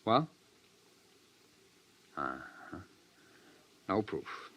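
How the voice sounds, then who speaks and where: An actor playing a smooth, evasive gangster, a man with unhurried, coolly polite speech in a 1950s radio drama on a noisy old recording. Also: low-pitched, sing-song, pushed and bright, exaggerated voice. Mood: smug, challenging. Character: smooth, evasive gangster posing as innocent guest.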